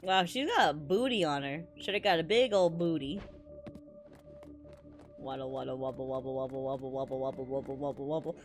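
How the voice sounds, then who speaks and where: A woman's voice: very deep voice, fairly quick. A woman game streamer giving casual, slangy reaction commentary with exclamations and swearing. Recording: music behind the voice. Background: game music.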